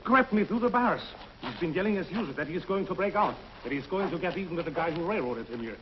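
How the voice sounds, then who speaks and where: A man's voice: fairly high pitch, expressive, slight background noise, close to an everyday voice. An actor playing a shaken, urgent male prison guard in a 1950s TV drama, on a dull lo-fi soundtrack. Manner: hurried, urgent reporting.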